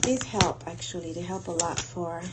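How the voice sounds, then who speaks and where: A woman talking casually and haltingly as she thinks aloud through her planning in an audio log. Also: fairly low pitch. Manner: unhurried, halting, thinking aloud while doing tasks. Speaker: host of a planning audio log for a women's group. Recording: noticeable background noise.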